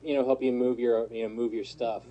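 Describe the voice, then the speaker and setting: A man in his thirties with a mellow, warm tone chats on a panel. He sounds eager and joking, and his words tumble out with restarts. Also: medium pitch, little pitch movement, brisk, dull audio. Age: 30s. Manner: enthusiastic, joking, stumbles over words. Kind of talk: casual panel chat.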